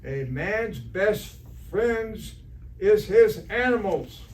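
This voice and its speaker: A man's voice: sits high, lively intonation, very slow, a little mellow, exaggerated voice. A man playing an earnest, naive, fussy biology teacher in an amateur stage re-creation of a radio comedy. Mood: fussy, disapproving. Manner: fussy, earnest, literal-minded. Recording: distant stage recording, faint noise.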